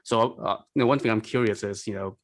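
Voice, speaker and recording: Low-voiced man, measured and analytical. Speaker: podcast panelist. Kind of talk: casual analytical discussion.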